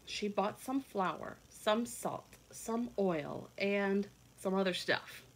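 A woman reads a children's picture book at an unhurried pace. Her pitch moves up and down a great deal in a sing-song, teacherly way, and she speaks as if to young listeners. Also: lively intonation, rough recording. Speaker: read-along storyteller for children. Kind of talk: expressive picture-book read-aloud with asides to young listeners. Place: indoor rooms at home.

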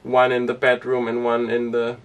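A young man who grew up speaking Danish, chatting casually to camera inside a small sailboat, relaxed and rambling with lots of fillers. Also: medium pitch, normal speed, clear, resonant tone, far from the mic. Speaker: sailing vlogger. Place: inside a small sailboat cabin and cockpit tent.

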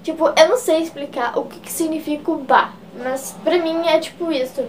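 Young gaúcha girl vlogging, high voice, lots of "tipo", faint room hum, camera a few feet away. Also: steady tone, moderate pace. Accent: Gaúcho Brazilian Portuguese. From Rio Grande do Sul, Brazil. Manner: chatty, filler-heavy, gesturing a lot with both hands. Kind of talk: casual vlog explaining regional slang.

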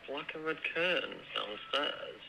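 A man's voice: on the high side, animated, unhurried, gravelly, noisy background. A man working as a receptionist, answering a customer service phone call. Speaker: casino staff on the phone.

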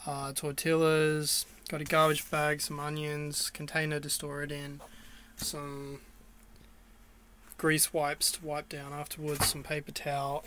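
A man's voice: a little high, fairly bright tone. An Australian man talking casually through a cooking walkthrough inside a small van, with many ums and restarts. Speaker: vlogger.

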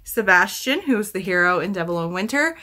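A woman's voice: medium pitch, expressive, a little fast, noisy background. A woman in her thirties chatting casually to camera as a book vlogger, rambling and correcting herself as she thinks aloud. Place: small room at home, indoors.

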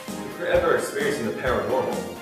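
Young man as fast-talking pompous pitchman. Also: lively intonation, close to an everyday voice. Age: teens to young adult. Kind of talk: parody TV commercial. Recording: echoey, distant mic, loud music behind.

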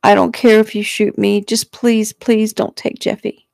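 A woman's voice: mid-range voice, flat delivery, a little slow, creaky, a little mellow, dry recording, clean studio sound, pitched above the performer's own voice. A woman voicing a frightened, distraught mother in an audiobook, pleading desperately for her child. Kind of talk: audiobook character acting.

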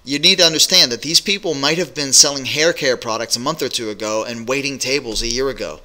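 A man's voice: mid-range voice, fast. A middle-aged man coaching business owners straight to a webcam in a small home office, talking directly with plenty of uhs. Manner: energetic, direct, many fillers. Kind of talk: direct-to-camera business advice vlog. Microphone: webcam at desk.

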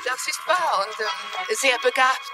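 A sharp, persistent older woman detective in a TV crime drama. Her delivery swings expressively, polite yet firm and probing, with music underneath. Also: high-pitched, lively intonation, unhurried, poor audio. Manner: insistent, questioning, polite but firm. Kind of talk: dubbed TV crime drama acting.

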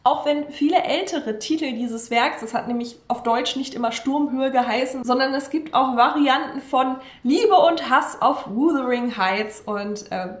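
Young woman book vlogger, high voice, chatty with lots of ähms, echoey room. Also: unhurried, few pauses. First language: German. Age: young adult. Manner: chatty and enthusiastic, frequent ähm fillers. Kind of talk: casual book talk to camera. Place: room with bookshelves behind her. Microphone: camera at desk distance.

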